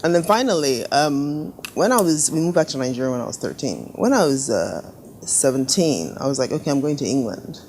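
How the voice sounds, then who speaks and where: A middle-aged woman designer telling stories in a casual interview, lively and laughing often, doing little voices for her parents. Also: rumbling low, brisk, slight background noise. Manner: animated storyteller, laughs often, acts out quoted family voices. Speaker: fashion designer guest. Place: living room studio with sofas.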